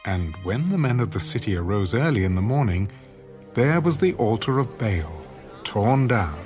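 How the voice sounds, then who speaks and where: A man with a mid-pitched voice narrates a dramatized scripture story. He reads from a script as the storyteller, with music clearly playing behind him.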